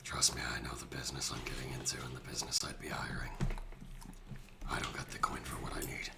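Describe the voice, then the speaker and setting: A man roleplays at a tabletop game in an extremely deep, gravelly whisper. His voice stays flat and low. Heavy noise runs under the recording. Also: fast talker. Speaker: player. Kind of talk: in-character roleplay. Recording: loud background noise.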